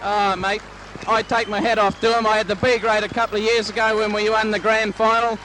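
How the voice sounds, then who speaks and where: A man's voice: high voice, flat delivery, a little fast, sharp tone, poor audio. A plain-spoken man, chatting as co-commentator at a local rugby league match. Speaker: co-commentator, club member. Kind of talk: casual sports commentary chat. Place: outdoor grandstand at a suburban oval. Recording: old amateur sports recording with background noise.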